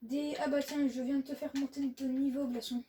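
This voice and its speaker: Woman co-host, high-pitched, relaxed explainer. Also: fairly quick, distant mic, slight background noise. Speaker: gaming channel co-host. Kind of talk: casual gameplay chat.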